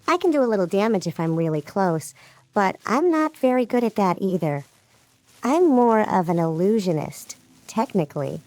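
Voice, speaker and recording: Woman acting a meek, very lively young sorceress. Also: medium pitch, normal speed, dry recording, natural voice. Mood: meek, unsure. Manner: meek, hesitant, explanatory. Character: meek young female sorceress, innocent, softly defensive scholar. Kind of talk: fully voiced fantasy audiobook dialogue.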